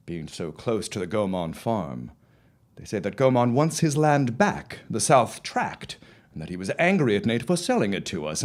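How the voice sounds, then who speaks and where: A man acting a confident, dismissive farmer husband of the early 1900s in an audio drama, with formal, deliberate phrasing. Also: on the low side. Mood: grave storytelling.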